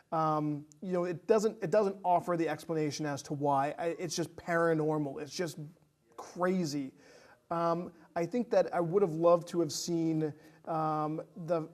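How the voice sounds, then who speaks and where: A man speaking as a guest in a casual film discussion, halting and searching for his words. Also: a little high, a little mellow. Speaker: podcast guest.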